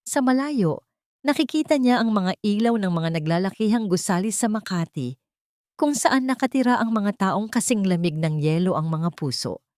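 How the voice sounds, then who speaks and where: A woman's voice: mid-range voice, lively intonation, moderate pace, a little mellow, no room sound, studio quality. A woman reading scripted, dramatic narration as the storyteller of an audio drama.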